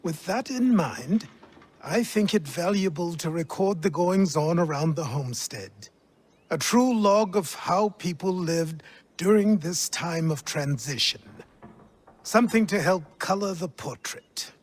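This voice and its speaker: An actor playing a stern, learned elderly mentor in a video game, with a formal, wordy, dry manner. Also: male voice, high-pitched, expressive, a little slow, breathy edge, heavily acted. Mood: measured, dry.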